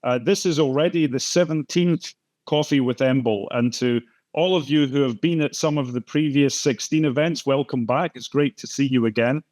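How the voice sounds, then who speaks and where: An older Scottish man hosting an online talk event on a video call, speaking in a halting way with many fillers and restarts. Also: medium pitch, darkish tone, dry recording. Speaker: host and moderator. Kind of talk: conversational welcome and introduction.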